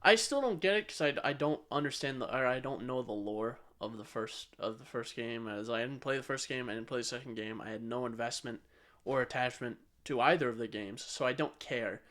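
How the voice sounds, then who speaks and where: A man's voice: mid-range voice, a little fast, a bit bright. A man co-hosting a podcast, chatting casually and giving opinions in a blunt, sweary way. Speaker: podcast co-host.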